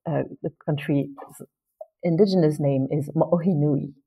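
Woman guest from French Polynesia, lowish mellow voice, measured and articulate. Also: slightly rough, low-quality recording. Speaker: literature professor, guest. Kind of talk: interview answers.

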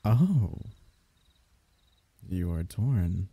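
A man acting as an affectionate fantasy court diviner for an ASMR roleplay. His voice is low and very dark and mellow, with gravelly fry at the edges. He speaks in courtly, teasing phrases, halting softly as he goes. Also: big pitch swings, dry recording, exaggerated voice. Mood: teasing, fond. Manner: halting, teasing, soft chuckles, courtly wording.

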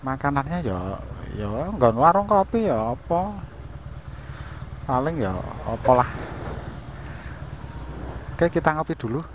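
A man's voice: medium pitch, sing-song, slow, pauses often. A man with an East Javanese accent hosting a casual riding vlog, chatty and joking at his own expense as he rides through town to a cafe. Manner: chatty, joking, laughs at himself. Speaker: motovlogger host. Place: riding a motorbike on city streets, then a cafe. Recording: dull sound with loud traffic noise.

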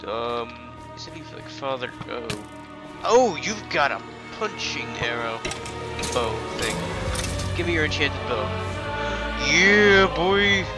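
A young man with a stuffy, congested voice chats casually through a gaming session, his pitch sitting in an ordinary middle range. He rambles on and then bursts out in sudden excitement. Music plays clearly behind him. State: congested from illness. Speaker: gaming channel host. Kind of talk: casual let's play commentary. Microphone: headset.

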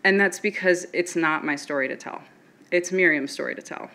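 A young woman telling a personal story from a podium on stage, earnest and steady. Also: lowish voice, moderate pace.

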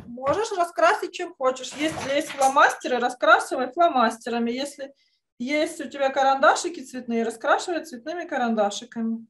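Female art teacher, middle-aged, with a high-pitched voice, giving children encouraging step-by-step drawing instruction over a video call. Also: a little fast, a bit bright. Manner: encouraging, uses affectionate diminutives. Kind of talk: online drawing lesson for children. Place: indoor room, on a video call.

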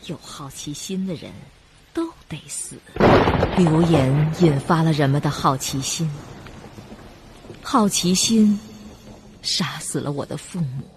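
A woman's voice: low voice, slow pace, pauses often, noisy background. An actress in a suspense radio drama playing a vengeful, sinister young woman narrating her family's tragedy. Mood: menacing. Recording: music behind the voice.

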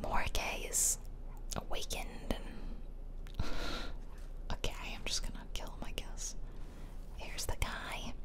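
Girl gamer doing asmr, all whispers, unhurried, casual little jokey asides, super close mic. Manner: playful, casual murmured asides. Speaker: gaming streamer. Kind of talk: whispered ASMR game commentary. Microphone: close ASMR microphone, ear to ear.

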